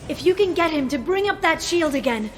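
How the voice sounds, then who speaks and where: A woman's voice: high-pitched, lively intonation, brisk. A woman playing an earnest young information broker in a sci-fi action video game. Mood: urgent, commanding. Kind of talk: cinematic video game voice acting. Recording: music behind the voice.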